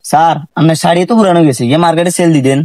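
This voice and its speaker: A man's voice: on the high side, fairly even intonation, very fast, darkish tone, no room sound, studio quality, natural voice. A man with a regional Bangladeshi accent playing a cheeky junior police detective, deferring to his boss in a comedy sketch. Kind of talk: amateur comedy sketch dialogue.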